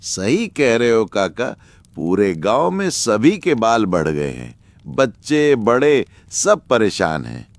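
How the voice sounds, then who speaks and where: A man's voice: fairly low pitch, big pitch swings, slow pace, creaky, dry recording, heavily acted. A man voicing an earnest, anxious village man in a cartoon story. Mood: troubled. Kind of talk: Hindi cartoon story dialogue.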